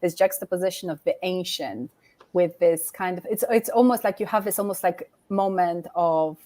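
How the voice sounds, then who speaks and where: Lower-voiced woman asking rambling questions. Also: moderate pace, dark tone. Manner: rambling, hesitant, many "like" fillers. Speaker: interviewer. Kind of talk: livestream conversation.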